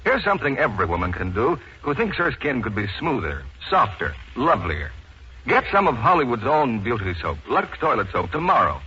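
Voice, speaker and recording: A man announcing a scripted 1940s radio advertisement with a polished, deliberate sales delivery. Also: medium pitch, huge pitch swings, sharp tone. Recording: muffled, noisy 1940s radio recording.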